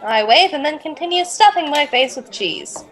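A woman's voice: high-pitched, lively intonation, normal speed, a bit bright, a faint crowd. A woman talking casually as a player in a tabletop role-play game.

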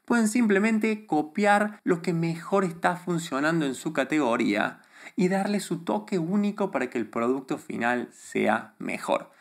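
A man in his thirties from Argentina, with a softened Argentine accent, confidently advising viewers straight to camera from a small studio with a desk microphone. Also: a little high, expressive, measured pace. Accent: neutralized Argentine Spanish. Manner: confident, emphatic, gestures a lot with his hands. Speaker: YouTube creator. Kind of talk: direct-to-camera advice talk. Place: small studio room before a plain grey backdrop. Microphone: desk microphone in front of him.

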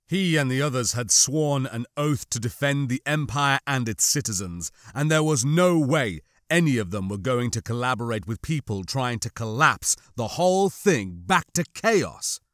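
A man reading a novel as an audiobook narrator, with measured, dramatic delivery. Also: mid-range voice, lively intonation, a bit bright, no echo, studio quality.

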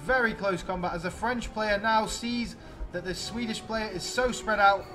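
A man with a high-pitched voice commentates a strategy game battle over music. He speaks in exclamatory play-by-play, talking straight to his viewers. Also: moderate pace.

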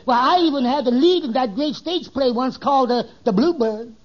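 A man playing an excitable, stuttering little barber in a 1950s American radio sitcom, eager and prone to boasting. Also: extremely high pitch, normal speed. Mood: boastful, excited. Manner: stuttering, gets stuck on words. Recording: muffled old radio recording.

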